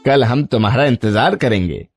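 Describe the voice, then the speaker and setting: An actor voicing a wealthy merchant and father in an animated moral story, with an earnest, emotive delivery. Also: medium pitch, moderate pace, faint music behind, close to an everyday voice. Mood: kindly, apologetic. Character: wealthy merchant, worried father, grateful employer.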